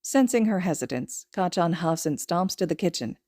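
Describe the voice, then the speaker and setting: Lowish-voiced woman narrating, very dry sound. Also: lively intonation, moderate pace, a little mellow, no echo. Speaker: podfic narrator. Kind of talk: expressive scripted story narration.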